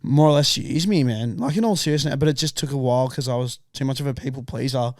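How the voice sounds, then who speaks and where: A young man with a raspy voice, co-hosting a podcast in casual chat, swearing and laughing through lively stories. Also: mid-range voice, fast, no echo, studio quality. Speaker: podcast co-host. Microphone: studio podcast mic.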